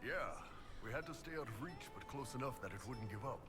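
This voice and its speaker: An actor voicing a big, gruff, horned mercenary and former spy in a video game, speaking bluntly and wryly. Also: male voice, mid-range voice, lively intonation, fast talker, lightly acted. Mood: wry, matter-of-fact. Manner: blunt, laconic storytelling. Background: game music.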